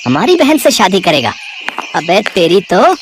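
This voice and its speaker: A man's voice: sits high, huge pitch swings, measured pace, background noise, full character voice. A man voicing a furious, bullying brother in a story, aggressive and threatening.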